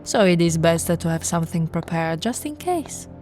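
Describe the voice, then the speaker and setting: A woman voicing a possessive, seductive, haughty vampire noblewoman in an intimate roleplay, with theatrical drawn-out words and breathy whispers. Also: lowish voice, expressive, darkish tone, faint music behind, close to an everyday voice. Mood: haughty, amused. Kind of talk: intimate ASMR audio roleplay.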